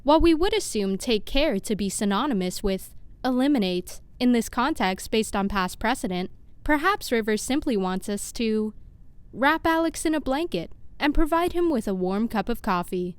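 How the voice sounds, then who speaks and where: A woman's voice: fairly high pitch, animated, moderate pace, smooth, dry recording, lightly acted. A woman playing an earnest, literal-minded scientist in an audio drama, with formal, precise wording. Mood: pedantic, hesitant. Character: earnest, literal-minded female lab scientist named Sophie. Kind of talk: scripted audio drama acting.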